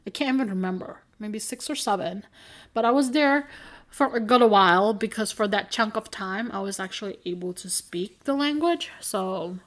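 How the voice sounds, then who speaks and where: A young woman in a medium-pitched voice talks her way through a casual podcast chat. She rambles, corrects herself mid-sentence and breaks into giggles. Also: lively intonation, normal speed. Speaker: podcast co-host.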